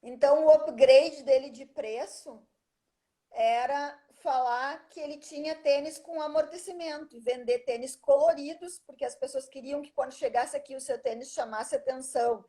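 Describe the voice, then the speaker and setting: A woman's voice: high-pitched, moderate pace, mellow. A middle-aged woman, a teacher chatting as an interview guest and telling a story at length, often checking in with the listener. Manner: chatty storytelling, frequent "né?" tag questions. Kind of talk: casual interview conversation.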